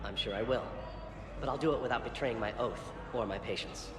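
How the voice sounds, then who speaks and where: A man's voice: mid-range voice, brisk, music in the background, lightly acted. An actor playing a principled, indignant male doctor in a video game, speaking emphatically and defiantly. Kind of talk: dramatic video game acting. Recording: roomy echo.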